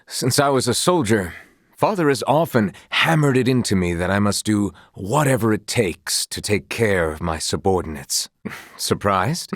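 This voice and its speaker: A young noble knight for a fantasy video game. He speaks in a lowish male voice with very lively, swooping intonation. His phrasing is formal and old-fashioned, earnest and gently proud, captured dry and close. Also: no room sound. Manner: formal, archaic, eloquent phrasing. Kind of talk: video game character voice lines.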